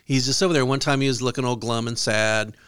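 A man's voice: medium pitch, fairly even intonation, fast talker, a bit bright, clear, resonant tone, dry recording. A man co-hosting a podcast, telling stories in a casual conversational style. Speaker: podcast co-host. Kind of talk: casual storytelling.

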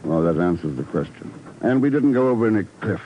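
An actor playing a cool, dry, no-nonsense secret agent in a 1950s radio drama, clipped and wry. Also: male voice, low voice, expressive, fast. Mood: matter-of-fact. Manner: clipped, unflappable, wry, probing. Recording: dull, noisy old radio recording.

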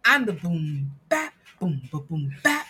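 A woman reading a picture book aloud with emphatic, dramatic phrasing and deliberate pauses. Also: lowish voice, very animated, bright, forceful tone, slight background noise. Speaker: guest reader and producer.

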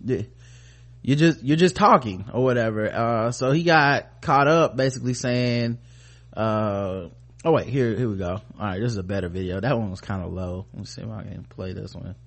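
A man's voice: fairly low pitch, moderate pace. A man hosting a podcast with casual commentary, talking in a rambling, informal way full of slang and swearing. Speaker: podcast host.

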